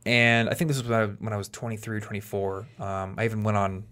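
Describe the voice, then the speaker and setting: A man in his late twenties hosting a podcast, explaining things in a relaxed chat while thinking aloud and restarting his sentences. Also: on the low side, fast. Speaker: podcast host.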